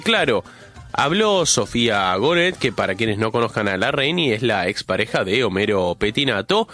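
Bright-voiced Argentine radio host with a cold. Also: male voice, mid-range voice. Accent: Argentine, Buenos Aires. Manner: conversational, opinionated, repeats phrases for emphasis. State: has a cough and a cold. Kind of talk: live radio show opening, news rundown with commentary. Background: music bed.

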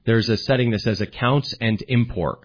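A man's voice: medium pitch, normal speed. A man hosting a call-in tech radio show, chatting with callers and explaining computer steps one at a time. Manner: chatty, friendly, explains step by step. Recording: dull lo-fi broadcast audio.

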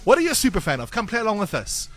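A man's voice: high voice, wildly swooping pitch, fairly bright tone, soft background music. A man hosting a breakfast radio game, chirpy and teasing. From South Africa. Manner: chirpy, teasing, quick patter. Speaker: radio breakfast show host. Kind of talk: upbeat radio game segment.

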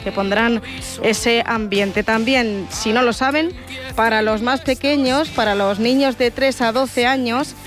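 Female radio host, a bit high, calm news read, faint music bed. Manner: steady, informative. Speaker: radio presenter. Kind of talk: news announcements.

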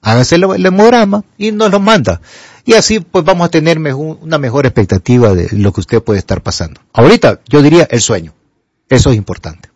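Animated older Honduran radio doctor. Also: male voice, a little high, brisk, no room sound, highs cut off. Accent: Honduran Spanish. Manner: animated, emphatic, rises to pleading. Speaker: radio health show doctor. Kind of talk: radio call-in advice.

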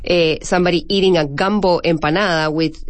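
A woman's voice: lowish voice, steady tone, a little fast. A woman from Argentina, talking conversationally as an interview guest. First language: Spanish. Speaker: empanada shop owner, interview guest.

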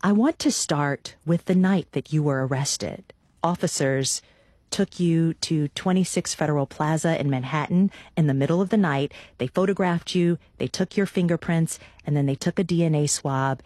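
A woman hosting a radio interview, delivering scripted introductions and questions in a measured, articulate way. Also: sits low, a little mellow, no echo.

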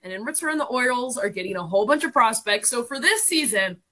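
Female sports analyst, twenties, bright and fairly high voice, informal, emphatic commentary. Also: animated, fast. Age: 20s. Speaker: sports radio guest analyst and producer.

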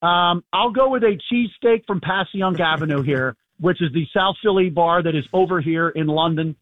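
A hoarse, fairly high-voiced man rambles easily over a tinny phone line. His dry, close sound and scratchy throat make it plain he is calling in from far away. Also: normal speed, dry recording. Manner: rambling, easygoing, many fillers. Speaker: sports reporter calling in. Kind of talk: casual radio phone interview. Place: abroad, away from the studio. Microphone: phone call.

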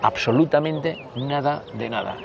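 A man in his sixties with a northern Spanish accent, reminiscing conversationally in an interview, haltingly and with repeated words. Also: mid-range voice, vocal fry, crowd noise. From Basque Country, Spain. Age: 60s.

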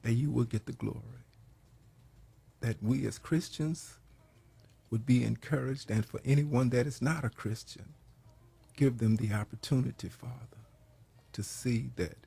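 A hoarse man leading a prayer and preaching on a program, slow and halting, with many pauses. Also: mid-range voice. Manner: grows louder and more emphatic while preaching. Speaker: pastor hosting a prayer program. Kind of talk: prayer and sermon talk.

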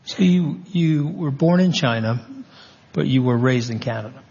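A man's voice: medium pitch, animated, normal speed, gravelly, darkish tone, husky, long reverb tail, lo-fi sound. A man interviewing a guest, asking casual questions with chatty, informal asides.

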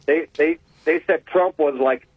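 A man's voice: measured pace, husky, dry recording. Older man calling in on a tinny phone line. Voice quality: deep voice. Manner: blunt, rambling, folksy. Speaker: caller. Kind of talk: casual call-in chat.

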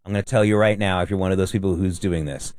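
A man's voice: deep voice, steady tone, fast, darkish tone, no echo, studio quality. A man co-hosting a talk radio show, blunt and emphatic. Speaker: radio co-host. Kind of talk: casual talk radio chat.

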